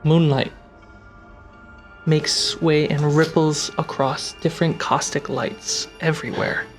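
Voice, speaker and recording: Man, higher-pitched voice, unhurried game master narration, dramatic pauses, faint music behind. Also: steady tone. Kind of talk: cinematic tabletop game narration.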